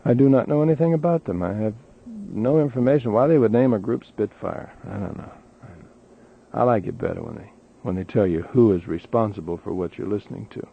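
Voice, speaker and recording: A man's voice: lowish voice, lively intonation, a little fast, creaky, slightly rough. A man with an American accent hosting an overnight radio show, chatting in a conversational way and reading news and weather. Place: radio studio. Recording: tinny 1980s radio aircheck tape.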